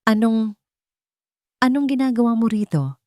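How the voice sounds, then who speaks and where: A woman's voice: fairly high pitch, lively intonation, measured pace, darkish tone, dry recording, pitched above the performer's own voice. A woman voicing a hurt, guarded young woman in a dramatized audiobook, speaking bitterly and coldly. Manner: bitter, cold.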